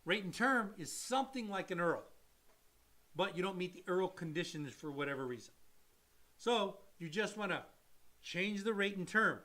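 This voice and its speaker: Man, high-pitched, loan officer, casual explainer, emphatic, blunt. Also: lively intonation, normal speed, sharp tone. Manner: emphatic, repeats key points, blunt asides. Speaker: mortgage loan officer co-host. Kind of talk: casual explanatory chat, answering viewer questions.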